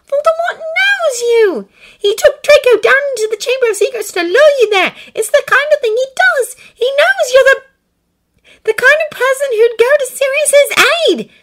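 A woman's voice: very high voice, expressive, normal speed, voice pushed up. A woman voicing an earnest, anxious teenage girl in a dramatized story reading, pleading urgently.

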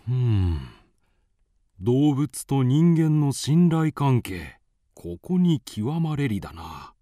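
A mellow male voice as a thoughtful, kindly animal doctor in a radio play. He is avuncular and measured, with hugely varied intonation. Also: mid-range voice, big pitch swings, no room sound, studio quality. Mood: musing. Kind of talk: audio drama acting.

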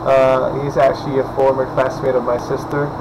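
A young man from the Philippines, hoarse from just waking up, rambling casually to his webcam at home with lots of fillers. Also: mid-range voice, level tone, normal speed, muffled recording, noisy background. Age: young adult. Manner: rambling, chatty, many fillers and restarts. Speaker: vlogger. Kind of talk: casual vlog talk to camera. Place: indoors at home, small room. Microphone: webcam at desk distance.

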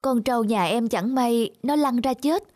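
A woman playing an earnest, stubborn young village wife in an animated moral tale. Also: fairly high pitch, a little slow. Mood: pleading. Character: indignant, stubborn young married village woman, a sister-in-law.

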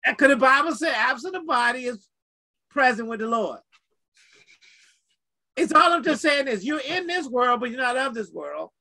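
A man in a faith discussion who talks with lively energy and keeps affirming the other speaker with quick encouragements. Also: extremely high pitch, low-quality recording.

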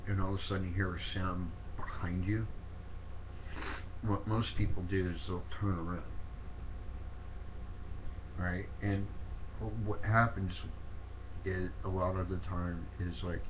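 A deep-voiced man with a sniffly cold talks casually and haltingly, stopping and restarting often. The recording is dull and lo-fi, with a steady hiss behind him. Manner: halting, many fillers and restarts. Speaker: paranormal video host. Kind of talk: casual rambling explanation.

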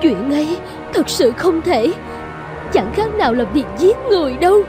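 A woman's voice: sits high, sing-song, moderate pace, over music. A woman voicing an anxious, devoted wealthy mother in a fairy-tale cartoon, fretful and pleading. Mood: horrified. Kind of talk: cartoon dubbing.

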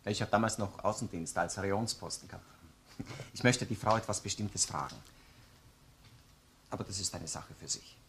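A man with an Austrian accent playing an eager, dutiful junior police officer in a radio crime drama, crisp and respectful. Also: low-pitched, normal speed, long pauses, a bit bright, slight background noise. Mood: earnest. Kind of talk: German-language radio crime drama acting.

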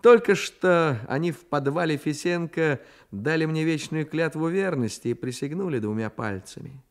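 A man's voice: fairly high pitch, animated, moderate pace, voice pushed up. Man acting a proud, mocking boastful boy. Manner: taunting, emphatic.

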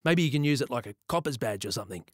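Man doing a blunt, burly country cop, louder and lifted. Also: mid-range voice, expressive, very fast, no room sound, clean studio sound, lightly acted. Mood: friendly, matey. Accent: rural Australian. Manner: official, blunt, then matey. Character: burly, jovial country policeman in his thirties.

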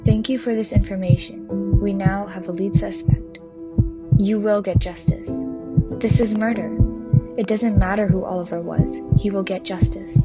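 A woman playing a sober, measured authority figure in an amateur radio play. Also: medium pitch, steady tone, natural voice. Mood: grave, formal. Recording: muffled lo-fi, loud music behind.

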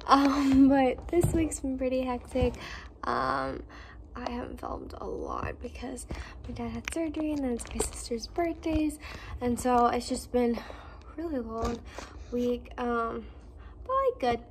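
A young woman vlogging casually from her home kitchen, rambling softly with lots of ums. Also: a little high, big pitch swings, unhurried, a little hiss or hum. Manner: rambling, soft and mumbled, with many ums. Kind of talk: casual vlog chat. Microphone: phone held close.

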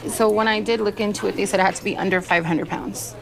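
A woman's voice: medium pitch, fairly even intonation, fast talker, vocal fry, crowd noise, poor audio. A woman in her thirties, a salon owner and makeup artist, speaking earnestly and from the heart. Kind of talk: casual emotional conversation. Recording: background music under voice.

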